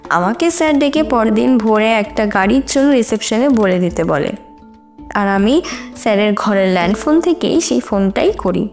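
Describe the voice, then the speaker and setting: A woman playing a young student who testifies in court in an audio drama, earnest and emotive in a deliberate, composed but distressed way. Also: medium pitch, expressive, darkish tone, soft background music, close to an everyday voice. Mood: earnest recounting. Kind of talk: Bengali audio drama acting.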